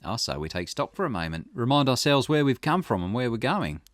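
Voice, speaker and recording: A man's voice: medium pitch, big pitch swings, a little fast, dry recording, voice pushed up, close to an everyday voice. A man voicing a dry, inquisitive, businesslike male detective in dialogue read from a visual novel. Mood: wry, concerned. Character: male private investigator, wry, inquisitive and businesslike.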